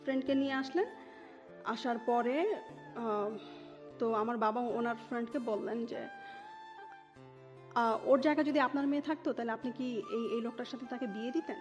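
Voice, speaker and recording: A woman's voice: on the high side, moderate pace, pauses often. A woman in her thirties with a Bangladeshi accent, telling her life story as an interview guest and dropping English words into her talk. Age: 30s. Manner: mixes English words into Bengali. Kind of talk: personal life storytelling in conversation. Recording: dull lo-fi audio with music behind. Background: music.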